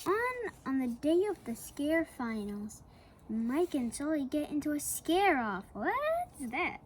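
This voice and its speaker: A woman reading a children's picture book aloud, slowly and with playful asides to the young listener. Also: high-pitched, sing-song, slight background noise. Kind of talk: read-aloud storytelling for kids.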